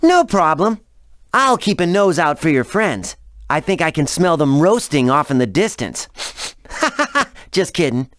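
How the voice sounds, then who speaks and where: A man's voice: fairly high pitch, sing-song, unhurried, a bit bright, no echo, studio quality. A man voicing a cheerful, joking young male dragon hero in a cartoon video game. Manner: breezy, joking.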